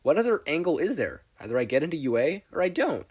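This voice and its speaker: An earnest teenage boy, played by a man reading fiction speaks politely and a little hesitantly. His voice sits a bit high and moves up and down expressively. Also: big pitch swings, fast talker, darkish tone, husky.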